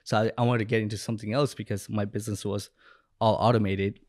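A man's voice: fairly low pitch, little pitch movement, quick pace, darkish tone, no room sound. A young man from Bangladesh talking casually and anecdotally as a podcast guest, close on a microphone. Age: young adult. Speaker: podcast guest, business owner and coach. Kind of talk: casual storytelling in interview. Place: room at home or studio, indoors. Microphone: close podcast microphone with headset.

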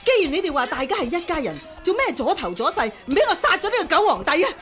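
A woman's voice: very high voice, very animated, quick pace, fairly bright tone, heavily acted. An actress playing a feisty, impatient older female martial arts master in a radio drama, blunt and brusque, with a Hong Kong Cantonese accent. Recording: radio drama with music behind.

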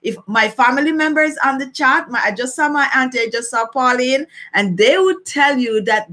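A woman's voice: fairly high pitch, bright, forceful tone. A middle-aged woman with a Jamaican accent, chatting casually to livestream viewers and repeating phrases for emphasis. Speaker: beauty vlogger hosting a live chat. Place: small furnished room at home, indoors.

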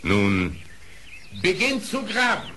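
A man's voice: high voice, wildly swooping pitch, slow, full character voice. An actor playing a proud, stern animal king with a declamatory, regal manner in a storybook radio play. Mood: commanding. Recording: faint hiss of old record.

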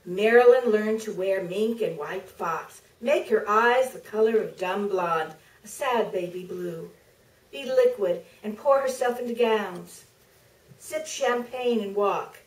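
Slow, deliberate woman reading in echoey theater. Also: medium pitch, a little mellow, distant mic. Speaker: author reading from her book. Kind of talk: staged reading to an audience. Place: theater stage, filmed from the audience. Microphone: podium microphone heard through distant camera. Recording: roomy theater, picked up from afar.

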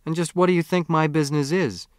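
A man's voice: a little high, steady tone, fast, clear, resonant tone, no echo, studio quality, voice pushed up, lightly acted. A man voicing a good-humoured, easygoing English teacher in an audiobook story. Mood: amused.

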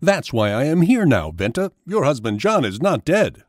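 A man's voice: medium pitch, very animated, normal speed, no echo, clean studio sound, pitched above the performer's own voice. A man voicing a proud, boastful magical peacock, king of the birds, in a grand declarative manner for a children's story. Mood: reassuring.

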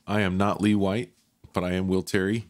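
A man's voice: sits low, level tone, moderate pace. A man in his fifties chatting casually as a podcast co-host on a video call. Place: home room, video call.

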